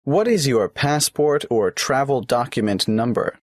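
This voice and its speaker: A patient government clerk. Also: male voice, mid-range voice, lively intonation, a little slow, dry recording, studio quality. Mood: businesslike. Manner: patient and matter-of-fact, asking form questions. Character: polite adult male government clerk taking an application. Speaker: tax office clerk. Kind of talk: scripted exam listening dialogue.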